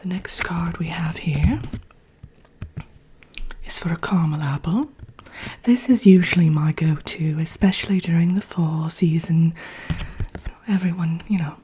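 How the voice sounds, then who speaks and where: A woman playing a haughty, wry evil queen villainess who teaches a workshop in a soft-spoken, whispered ASMR roleplay. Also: fairly low pitch, a little hiss or hum, natural voice. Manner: haughty, wry, hesitant asides, deliberate.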